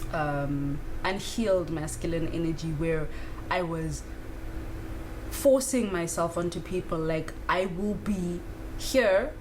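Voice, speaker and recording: A woman around thirty from South Africa, talking through spiritual ideas in a candid, casual monologue with frequent fillers and the odd swear word. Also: sits low. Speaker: spiritual vlogger. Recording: clear background noise.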